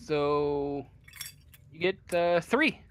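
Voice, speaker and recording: A man narrating a tabletop role-playing game as game master, in halting, hesitant fragments. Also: a little high, expressive, clean tone.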